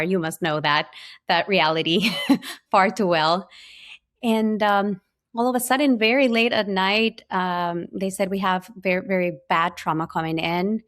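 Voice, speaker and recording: A woman with an Ecuadorian Spanish accent, a physician scientist telling her story in an interview, earnest and full of small restarts. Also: fairly low pitch. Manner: earnest, many fillers and restarts. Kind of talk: personal storytelling in conversation.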